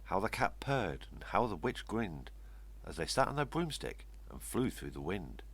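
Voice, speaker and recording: An older man with a gravelly, husky voice reading a rhyming children's story expressively. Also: low voice, big pitch swings, moderate pace, fairly bright tone. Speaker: story reader. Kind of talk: expressive read-aloud children's storytelling in rhyme.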